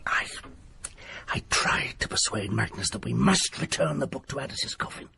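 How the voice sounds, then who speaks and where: Gravelly, frantic haunted doctor. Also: male voice, mid-range voice, lively intonation, bright, forceful tone, in a whisper, full character voice. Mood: anxious. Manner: pleading, theatrical, quick to rage. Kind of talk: period radio drama acting.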